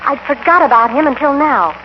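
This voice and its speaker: A woman's voice: high-pitched, lively intonation, brisk. A woman acting an earnest, anxious young American ranch woman in a 1950s radio western. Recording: tinny, muffled, hissy old radio broadcast.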